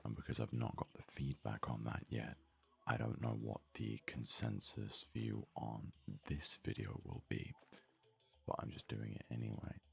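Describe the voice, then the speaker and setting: A man softly narrating an ASMR video game let's play, rambling and thinking aloud, close to a sensitive microphone. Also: extremely low pitch, little pitch movement, unhurried, vocal fry, husky. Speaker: gaming video host. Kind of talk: whispery soft ASMR let's play commentary. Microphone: close, highly sensitive microphone.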